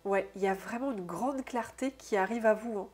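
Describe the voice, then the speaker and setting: A woman with a stuffy, congested nose from a cold, giving an intuitive oracle card reading to her viewers, musing aloud softly and often tagging her sentences with "hein". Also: medium pitch, breathy edge. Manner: soft, unhurried, musing aloud with frequent "hein". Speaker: soul therapist and oracle card reader.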